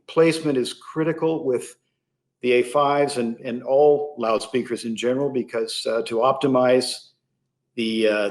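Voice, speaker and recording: A man with a mid-pitched voice gives explanatory product talk as an interview guest. His delivery is measured, and he often pauses partway through a sentence to find the next phrase. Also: darkish tone. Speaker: interview guest, loudspeaker company sales executive.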